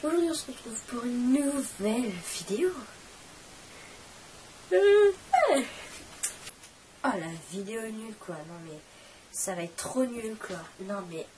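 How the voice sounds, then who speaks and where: A woman's voice: mid-range voice, darkish tone, a little hiss or hum. Teen girl with a cold, far from the mic. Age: teens. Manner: rambling, self-mocking, chatty. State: has a cold, coughing. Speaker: channel host. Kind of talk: casual vlog Q&A.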